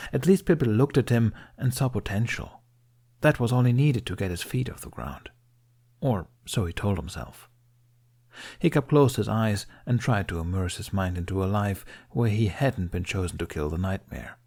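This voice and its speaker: A man with a lowish voice narrates an audiobook. His delivery is scripted, dramatic storytelling, read aloud as prose. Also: darkish tone.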